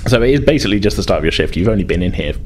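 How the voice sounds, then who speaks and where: A man's voice: deep voice, expressive, racing, darkish tone, slight background noise. A man acting as game master, narrating a tabletop game in an informal, conversational, hedging way.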